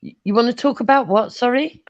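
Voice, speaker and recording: A woman's voice: on the high side, a little fast. A woman host patiently asking a caller questions. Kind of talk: casual chat. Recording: dull lo-fi sound.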